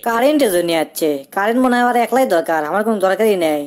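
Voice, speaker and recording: High-pitched grumbling village headman, Bogura accent. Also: lively intonation, rapid-fire, a crowd in the background. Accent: Bogura, northern Bangladesh. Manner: rustic, complaining, wisecracking. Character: wily, grumbling young village headman, comic nephew. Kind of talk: comic cartoon dialogue and monologue.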